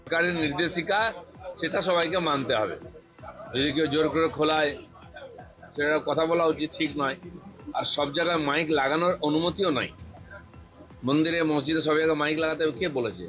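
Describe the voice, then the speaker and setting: Emphatic Bengali man, slightly high voice. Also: fairly quick, pauses often, a bit bright. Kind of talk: spontaneous public statement. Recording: slightly dull clip over music.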